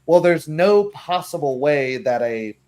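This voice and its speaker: A man's voice: on the high side, expressive, a little slow, a little mellow. A man talking casually as a game master, rambling and acting out imagined remarks as he goes. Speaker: game master of a tabletop roleplaying group. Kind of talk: casual chat about tabletop game rules.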